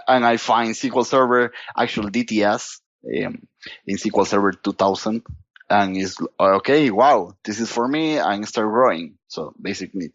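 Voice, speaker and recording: A man from Costa Rica with a Costa Rican Spanish accent, talking as a guest in a casual podcast interview, halting and restarting his sentences and leaning on "you know". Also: fairly low pitch, dry recording, dull audio. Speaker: guest data platform expert.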